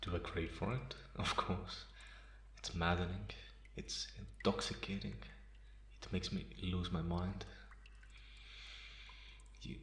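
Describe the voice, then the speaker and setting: A man playing a calm, teasing half-vampire in an ASMR roleplay, pausing often and sounding softly amused and restrained. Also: low-pitched. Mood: tormented, restrained. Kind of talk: slow ASMR roleplay monologue. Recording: roomy echo, faint background noise.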